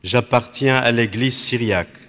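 A man from Iraq, a Dominican friar whose first language is Aramaic, speaks in short, measured phrases with long pauses between them. His delivery is unhurried and contemplative. His voice carries a long reverb, as if spoken in a large hall. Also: medium pitch, a bit bright. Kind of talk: slow reflective spiritual talk.

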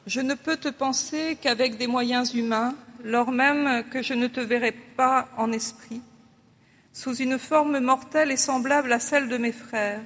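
A prayer leader reading a prayer aloud during worship in a church, in a slow, solemn and measured way. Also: high voice, a bit bright, in a large hall.

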